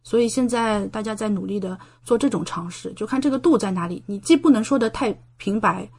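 Woman podcast guest just chatting, mid pitched voice, talks a lot and explains stuff casually. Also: brisk, a little mellow, slightly rough. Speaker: podcast guest, medical doctoral student and science writer.

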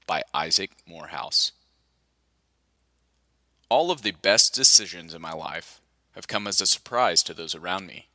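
Man, audiobook narrator, forceful bright tone, lowish pitch, scripted reading, close mic. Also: dry recording.

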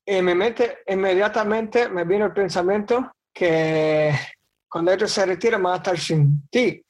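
A man in his thirties, a priest, telling his faith story in an interview with lively, joking anecdotes. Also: high voice, a little slow. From Bronx, New York. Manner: animated storyteller, jokes and acts out quotes. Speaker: diocesan priest.

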